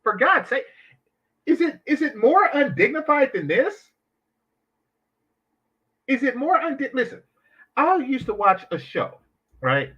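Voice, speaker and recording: A man with a high, very lively voice runs a reaction commentary full of sarcastic, mocking asides. His pitch swings up and down as he rants. The audio is dull and lo-fi. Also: a little slow, pauses often, slightly rough. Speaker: commentary channel host.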